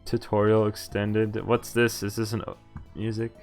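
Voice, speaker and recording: A man's voice: lowish voice, completely flat delivery, normal speed. A man streaming a game, reading its dialogue aloud and adding informal asides of his own. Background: game music.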